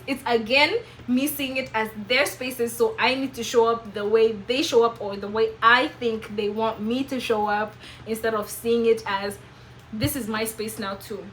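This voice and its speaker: A woman's voice: fairly high pitch, normal speed, bright, forceful tone, slight background noise, low-quality recording. A woman in her twenties with a Kenyan accent, telling a personal story to camera in an emphatic, preachy way. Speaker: vlogger.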